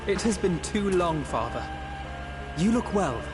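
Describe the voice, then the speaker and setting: A man's voice: sits high, big pitch swings, a little slow, over music. An actor playing an earnest, impassioned teenage boy in a fantasy game, speaking formally as a dutiful son. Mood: respectful. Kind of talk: dramatic fantasy game acting. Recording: clear background noise and ambience.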